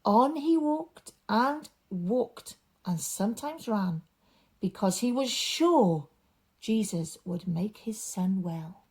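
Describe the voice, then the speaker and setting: Breathy, expressive woman telling children's stories. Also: mid-range voice. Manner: dramatic, deliberate phrasing, often breathy and hushed. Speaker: children's storyteller at a church. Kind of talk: expressive story reading and gentle teaching for children.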